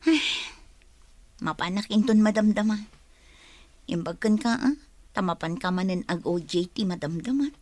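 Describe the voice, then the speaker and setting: A woman's voice: medium pitch, lively intonation, moderate pace, pauses often, a little mellow, no echo, lightly acted. A woman playing a coaxing, easily miffed young job seeker in an audio drama, with a Filipino Ilocano accent. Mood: casual.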